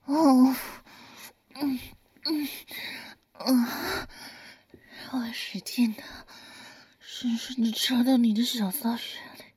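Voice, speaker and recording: A woman voicing a teasing, doting older lover in a whispered audio roleplay, speaking in a soft, coaxing, breathy whisper. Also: fairly high pitch, fairly even intonation, slow pace, pauses often, full character voice. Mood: heated.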